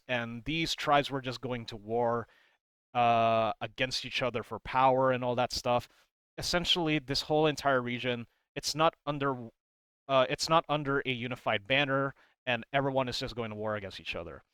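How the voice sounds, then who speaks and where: A male video creator talks through his commentary in a casual, rambling way. His voice is clear and resonant, emphatic on key words, with a very dry sound. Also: medium pitch, pushed and bright, dry recording. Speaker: video creator explaining game lore.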